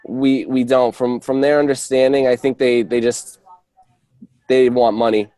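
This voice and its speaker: Man with a mellow, halting delivery. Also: mid-range voice, flat delivery, no echo. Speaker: real estate agent reporting on appointments. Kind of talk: informal work call update. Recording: dry conference call audio.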